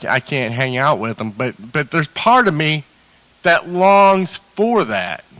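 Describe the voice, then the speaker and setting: A man with a bright, forceful voice speaks in a dry, close sound. He tells his recovery story candidly, stumbling and restarting often. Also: a little high, clean tone, no echo, poor audio. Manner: hesitant, many restarts and fillers. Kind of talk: candid personal testimony.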